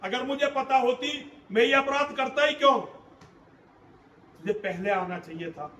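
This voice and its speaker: A man's voice: extremely high pitch, a little slow, bright, forceful tone, a little hiss or hum, heavily acted. A male storyteller voicing an angry warrior son who hurls repeated accusations at his mother. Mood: reproachful. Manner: accusing, rhetorical repetition. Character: furious wronged grown son, proud warrior. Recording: roomy hall, distant mic.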